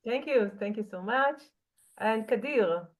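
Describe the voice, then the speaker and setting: A woman's voice: fairly high pitch, lively intonation, unhurried, low-quality recording. A woman moderating an online class who thanks each speaker briefly and calls on the next. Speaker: course moderator. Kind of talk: calling on participants in an online class.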